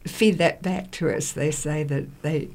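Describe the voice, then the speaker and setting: A woman's voice: low voice, fairly even intonation, a little slow, a little mellow. A woman of seventy-six explaining things conversationally as an interview guest.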